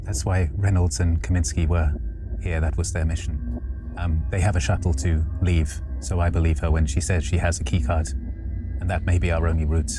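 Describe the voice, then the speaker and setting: A man playing in a tabletop role-playing game, speaking in character as a company android in a measured, deliberate way. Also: sits low, little pitch movement. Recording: music behind the voice.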